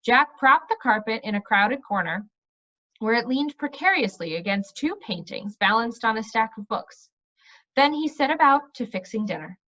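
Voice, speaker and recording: A woman's voice: fairly high pitch, expressive, moderate pace, sharp tone, dull audio. A woman narrating a storybook aloud.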